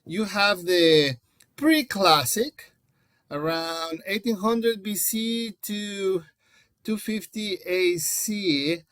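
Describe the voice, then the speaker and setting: A man with a Mexican accent teaches a class in a conversational, explanatory way. His voice sits a little on the high side and he takes his time, speaking slowly. The sound is close and dry. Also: a bit bright, dry recording. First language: Spanish. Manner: explanatory, conversational, addresses listeners directly. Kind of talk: informal recorded lecture to a class.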